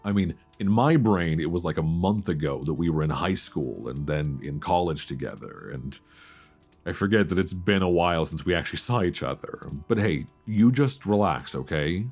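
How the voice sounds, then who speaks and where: A man's voice: deep voice, lively intonation, normal speed, darkish tone, breathy edge, faint music behind, full character voice. A man playing a playful, easily flustered old friend in an intimate, conversational romantic audio roleplay, teasing and stammering as he chats. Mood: relaxed, friendly. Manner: teasing, stammering, chuckling banter.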